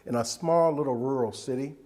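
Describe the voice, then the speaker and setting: A man's voice: mid-range voice, a little fast, a little mellow. A man in his seventies chatting about his age and family roots. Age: 70s. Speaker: oral history interviewee.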